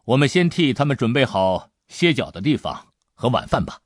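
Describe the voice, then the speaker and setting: A man voicing a kindly, composed gentleman adventurer in an audiobook, speaking in a measured, fatherly manner. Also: medium pitch, big pitch swings, dry recording, clean studio sound. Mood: calm, planning. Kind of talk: audiobook character dialogue.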